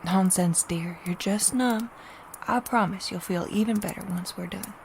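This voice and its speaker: A woman's voice: medium pitch, normal speed, creaky, a little hiss or hum, lightly acted. Woman playing a tender, fussing motherly caretaker. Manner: doting, gently insistent. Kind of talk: fantasy audio drama acting.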